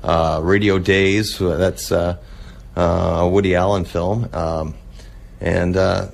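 A man speaking as a guest in a casual interview, talking in a halting, rambling way about his hobby. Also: deep voice, steady tone, gravelly. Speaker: radio show guest, old-time radio enthusiast.

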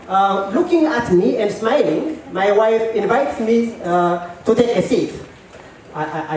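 A middle-aged Ethiopian man telling a personal story to an audience on a handheld mic, halting, with many fillers and repeated words. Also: sits high. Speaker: storyteller at a work meeting. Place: large tent at an event. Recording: echoey tent, mic at a distance.

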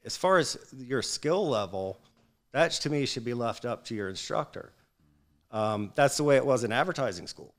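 A man's voice: mid-range voice, animated, normal speed. A man, a barber student on a podcast panel, speaking earnestly with filler words. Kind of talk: casual panel discussion. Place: barbershop event space, indoors.

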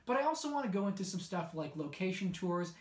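A man's voice: sits high, very animated, quick pace, a little mellow. A young man hosts a show, presenting announcements straight to the audience in conversational sentences. The room around his voice is noticeably echoey. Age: young adult. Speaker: show host.

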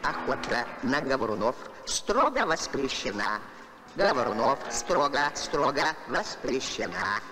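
A man voicing a talking alien bird in an animated film, repeating overheard phrases like a parrot. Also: medium pitch, measured pace, over music. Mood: mimicking. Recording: noisy old film soundtrack.

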